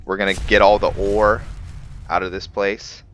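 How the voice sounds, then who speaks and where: A man giving casual gameplay commentary for a roleplay let's play, thinking aloud in a halting way. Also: lowish voice, flat delivery, fairly bright tone, slight background noise.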